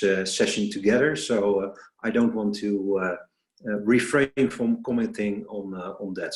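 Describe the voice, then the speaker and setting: A man from the Netherlands with a Dutch accent and a fairly low voice. He moderates an online panel in a semi-formal style, hesitating and breaking his sentences into short phrases. The room around him sounds echoey. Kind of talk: spoken webinar introduction, semi-formal. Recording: roomy, echoing webinar audio.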